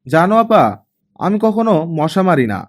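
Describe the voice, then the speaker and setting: A man voicing an innocent, soft-hearted teenage girl, a younger sister who talks in a light, naive, questioning way in an audiobook. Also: sits high, lively intonation, normal speed, voice pushed up.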